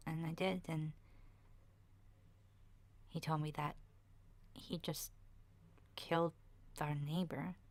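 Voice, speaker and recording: A woman voicing a chatty, nurturing child who survived a zombie apocalypse, soft-spoken and partly whispering, in a hesitant, confiding ASMR roleplay monologue. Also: low voice, steady tone, slow, pauses often. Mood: shaken, hushed.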